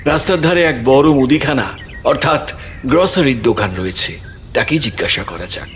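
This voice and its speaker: A man's voice: medium pitch, lively intonation, moderate pace, a bit bright, slight background noise. A man reading scripted narration for a suspense audio story as its narrator. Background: background music.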